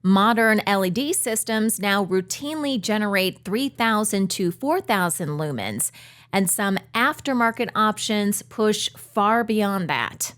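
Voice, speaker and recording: A woman reading a news feature in a clear, explanatory manner. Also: medium pitch, animated, a little slow, a bit bright. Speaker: news narrator. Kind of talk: scripted news narration.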